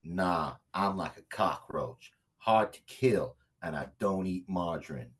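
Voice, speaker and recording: A man acting a cocky, vengeful action hero in a comedy table read, with a husky voice and defiant speechifying. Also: sits low, animated, unhurried, exaggerated voice.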